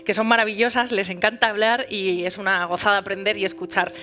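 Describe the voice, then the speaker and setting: Bright-voiced woman moderating a panel. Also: medium pitch, flat delivery, brisk. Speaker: event host and moderator. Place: event hall before an audience.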